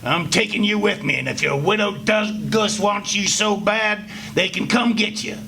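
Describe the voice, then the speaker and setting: Nasty drunk pappy, high sharp voice, sneering and bossy, radio play. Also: male voice, creaky, heavily acted. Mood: angry, demanding. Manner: taunting, domineering, drawn-out sneering. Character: mean, drunken, greedy backwoods father bullying his son. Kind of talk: stage radio-play acting. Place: live performance before an audience. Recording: noisy live stage recording.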